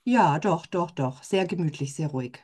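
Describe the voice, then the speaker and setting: A woman co-hosting a podcast, chatting in a lively way and laughing often. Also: deep voice, normal speed, breathy edge. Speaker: podcast co-host. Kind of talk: podcast chat.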